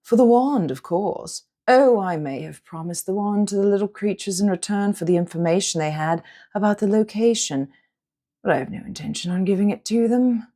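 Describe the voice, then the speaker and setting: A woman's voice: mid-range voice, lively intonation, moderate pace, mellow, natural voice. Woman doing a smug male dragon villain, haughty drawl with a snarl, storybook style.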